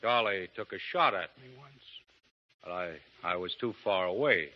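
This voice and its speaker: An actor playing a calm, commanding frontier lawman in a 1930s radio drama, speaking in a dry, unhurried drawl. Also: low-pitched. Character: cool, steely male frontier gunfighter lawman, middle-aged. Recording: muffled 1930s radio broadcast.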